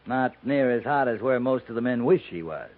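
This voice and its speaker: A man's voice: medium pitch, animated, fairly quick, lightly acted. Man playing a wry frontier captain, tinny recording. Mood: wry, easygoing. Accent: American. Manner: wry, laconic. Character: plainspoken, dryly patient army captain on frontier post. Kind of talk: 1950s radio drama acting. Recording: tinny, noisy 1950s radio recording.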